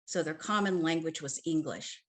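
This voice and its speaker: A woman who grew up in the Philippines, moderating an online panel and answering a question in a reflective, measured way. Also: deep voice. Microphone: video call.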